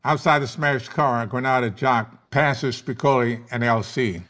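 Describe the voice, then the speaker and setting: A man's voice: mid-range voice, steady tone, measured pace, fairly bright tone. A man narrating a screenplay, reading out stage directions at a table read.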